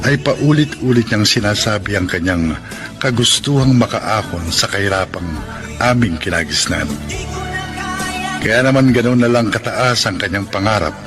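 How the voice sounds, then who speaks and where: An actor with a Filipino accent playing a practical, working-class young suitor in a radio drama, with an earnest, pleading manner. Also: male voice, on the low side, moderate pace, lightly acted. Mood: resigned, sorrowful. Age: young adult. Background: faint music.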